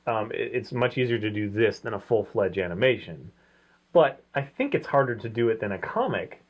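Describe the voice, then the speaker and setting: A man's voice: lowish voice, lively intonation, normal speed. A man co-hosting a casual review chat, opinionated and rambling as he thinks aloud. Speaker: co-host of a comics review show. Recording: dull, lo-fi sound.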